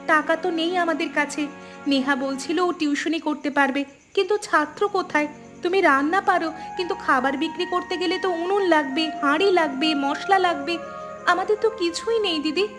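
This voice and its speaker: A woman's voice: extremely high pitch, normal speed. A woman playing wronged young village sisters-in-law in a cartoon story, speaking in an earnest, plaintive way. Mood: wistful, worried. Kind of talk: Bengali cartoon story dialogue. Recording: music behind the voice.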